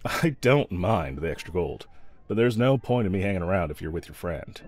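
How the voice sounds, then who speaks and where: A man's voice: low-pitched, lively intonation, moderate pace, darkish tone. A man playing a snarky, pragmatic yet protective mercenary escort in a fantasy audio roleplay, talking to the listener in a wry, teasing, laid-back way. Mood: casual, reassuring.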